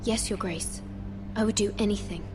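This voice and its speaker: An actress playing a dutiful young handmaiden of noble birth in a game drama, guarded, hesitant and deferential. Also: female voice, medium pitch, normal speed, a little mellow, soft background music, natural voice. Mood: submissive.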